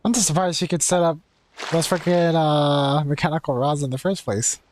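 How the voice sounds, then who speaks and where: A young man streaming a game, chatting casually in slangy, halting commentary. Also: on the high side, fairly even intonation, slight background noise. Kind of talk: casual live gaming commentary.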